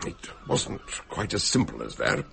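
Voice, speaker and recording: An actor playing a polite, sensible doctor who tells a shipboard mystery in a measured, hesitant way, radio drama style. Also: male voice, sits low, wildly swooping pitch, vocal fry, sharp tone, slight background noise, exaggerated voice. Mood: measured, explanatory. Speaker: narrator and character in a radio mystery. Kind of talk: radio drama storytelling and dialogue.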